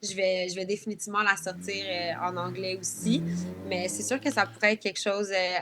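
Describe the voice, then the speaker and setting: A woman's voice: medium pitch, little pitch movement, brisk, background noise. A woman with a Quebec French accent, chatting casually as a guest singer in an interview.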